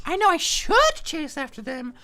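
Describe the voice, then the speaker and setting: Guy putting on a tiny gnome girl, really high squeaky n raspy, visual novel adventurer. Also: animated, normal speed, bright, forceful tone, full character voice. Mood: anxious. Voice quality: squeaky, strained heavy-smoker rasp. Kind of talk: visual novel character voicing.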